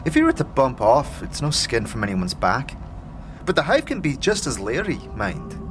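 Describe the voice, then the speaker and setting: A man's voice: mid-range voice, huge pitch swings, moderate pace, music in the background. A man voicing a wary, streetwise young tiefling woman in a fantasy audio drama, talking in clipped street cant. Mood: wry, matter-of-fact. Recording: clear background ambience noise.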